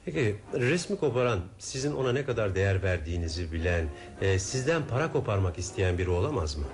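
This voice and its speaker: A man in a radio drama playing a calm, inquisitive middle-aged detective with a measured, polite questioning manner. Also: on the low side. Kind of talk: 1970s radio drama acting. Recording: radio drama with music behind.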